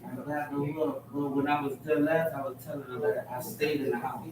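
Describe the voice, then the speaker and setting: A man in his forties sharing family troubles in a counseling group, telling a rambling story with pauses and fillers. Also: medium pitch, level tone, darkish tone. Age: 40s. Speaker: group member. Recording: very echoey room from far off, noisy.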